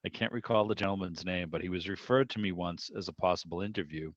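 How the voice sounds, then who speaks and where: A man with a fairly low voice chats casually, wandering from one anecdote to the next. The sound is somewhat dull, like a video call. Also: fast, dry recording. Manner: rambling, anecdotal storytelling. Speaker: radio show producer and interviewer. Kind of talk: casual hobby club chat.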